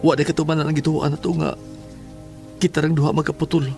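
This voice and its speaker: A man's voice: fairly high pitch, level tone, fast talker, creaky, over music. A man playing an earnest, troubled young lover in an audio drama. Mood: earnest, worried. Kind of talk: Filipino audio drama dialogue.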